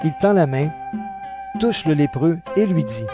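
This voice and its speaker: A male narrator reads a scripted audio Bible drama. His voice sits a little high for a man, and music plays clearly behind the narration. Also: big pitch swings, unhurried.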